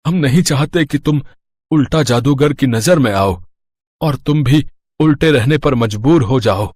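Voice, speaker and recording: A man's voice: medium pitch, unhurried, darkish tone, no echo, lightly acted. A man voicing a worried, kindly older merchant and town elder in a children's cartoon story, explaining earnestly in a fatherly way.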